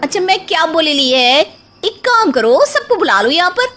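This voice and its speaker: Cocky comic-story housemaid, played by a woman: high, animated, Mumbai street slang, bold and boastful. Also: moderate pace, faint music behind, heavily acted. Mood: brash, confident. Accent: Mumbai street Hindi. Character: brash, bold, money-minded female housemaid, street-smart ghost chaser.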